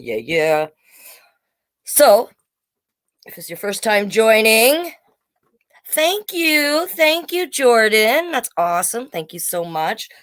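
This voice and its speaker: Animated woman hosting children's storytime. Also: lowish voice. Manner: animated, sing-song, chatty asides. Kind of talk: live read-aloud storytime for children.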